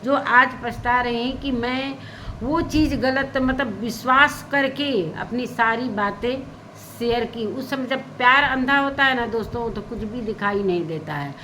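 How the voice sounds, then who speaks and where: A woman's voice: a little high, measured pace, pushed and bright, a little hiss or hum, poor audio. A woman vlogger giving casual, opinionated commentary, repeating her points for emphasis. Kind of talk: casual spoken commentary on social media gossip.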